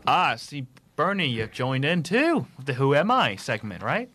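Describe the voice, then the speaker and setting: A man presenting a casual quiz segment on a radio show, reading clues haltingly with stumbles and restarts. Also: mid-range voice, very animated, sharp tone, a little hiss or hum.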